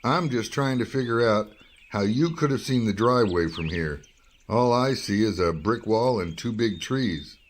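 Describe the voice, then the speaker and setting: A man's voice: lowish voice, animated, normal speed, a little hiss or hum, close to an everyday voice. A man acting in a radio drama as a wry, skeptical investigative reporter, both speaking in scenes and narrating. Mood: skeptical, probing. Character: wry, probing male investigative newspaper reporter.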